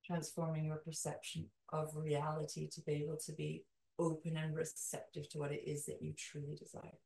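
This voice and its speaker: A woman leading a guided meditation in a soothing, calming way, with slow, deliberate phrases and long pauses. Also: low-pitched, vocal fry. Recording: roomy echo, distant from mic.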